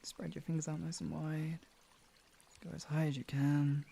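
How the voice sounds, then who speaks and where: A man with a low, gravelly and mellow voice, talking as the host of a guided relaxation stream. He is informal and gently instructive. There is some background noise in the recording. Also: flat delivery, normal speed. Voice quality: deep voice. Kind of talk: casual chat moving into guided relaxation and hypnosis instruction.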